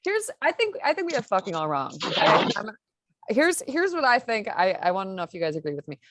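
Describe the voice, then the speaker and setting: A woman's voice: medium pitch, very animated, fairly quick, a bit bright, dry recording. A woman emceeing a comedy open mic, joking with crude, playful banter.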